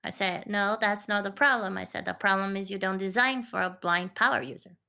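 A woman's voice: mid-range voice, fairly even intonation, fairly quick, creaky, pushed and bright, muffled recording. A woman in casual conversation, talking in a chatty way with many fillers and restarts as she tells stories about her work. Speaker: accessibility researcher and guest.

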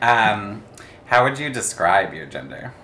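A male interviewer leads an oral history interview with soft-spoken, casual questions. His voice is fairly low yet bright and forceful in tone, and it rings with roomy echo. Faint background noise sits under it. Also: big pitch swings, unhurried. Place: office indoors.

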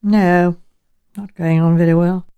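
A woman voicing a courteous, frank male English professor in an audiobook, speaking in a measured and direct way. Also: on the low side, fairly even intonation, dark tone, smooth, lo-fi sound, voice pushed down. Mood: frank, grave.

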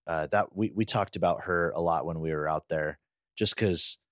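Deep-voiced man chatting casually, very dry sound. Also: moderate pace, dry recording. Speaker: podcast co-host. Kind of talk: casual chat, personal recollection.

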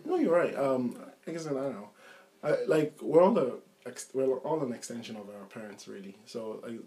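A man's voice: medium pitch, animated, moderate pace, warm tone. Rambling young man, casual podcast chat. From grew up in Ireland. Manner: rambling, many restarts and "like". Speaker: podcast co-host.

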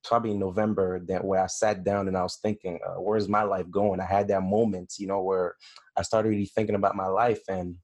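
A young man in his late twenties with a fairly low voice hosts a casual talk. He sounds friendly and encouraging, calling his guest "brother" and affirming him often. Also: level tone, a little fast, darkish tone. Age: 20s. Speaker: host. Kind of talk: casual interview.

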